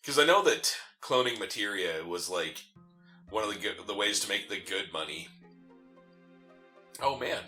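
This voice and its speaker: A man's voice: on the low side, sing-song, moderate pace, pauses often, pushed and bright, faint music behind. A man co-hosting a gaming show, chatting casually and reacting as the game is played. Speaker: gaming podcast co-host. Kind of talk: casual banter while playing a video game.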